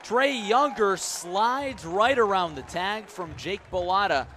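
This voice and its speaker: A man doing live baseball play-by-play on the radio, mixing clipped pitch calls with conversational asides. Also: animated, takes it slowly, pushed and bright. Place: outdoor baseball stadium. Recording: clear outdoor stadium ambient noise. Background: outdoor ballpark ambience.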